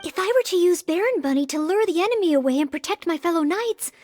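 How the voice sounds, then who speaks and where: A woman's voice: very high voice, expressive, quick pace, darkish tone, soft background music. A woman playing an earnest, polite young maid who dreams of knighthood, in a light girlish voice for a video game. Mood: thoughtful, unsure. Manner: polite, earnest, slightly hesitant.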